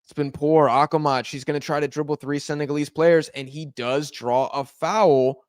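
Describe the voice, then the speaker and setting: A hoarse man calls a live football match as a watch-along host. He talks in a fairly high voice, close and dry on the mic, and bursts into shouts at every near miss. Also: moderate pace, no room sound. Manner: excitable, shouts at big chances. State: hoarse, losing voice.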